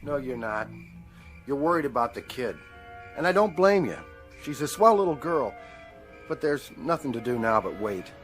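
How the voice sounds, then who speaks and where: A man's voice: medium pitch, sing-song, moderate pace, pauses often, soft background music, lightly acted. An actor playing a kind, practical male neighbor in a radio drama, folksy and coaxing. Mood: gentle, coaxing.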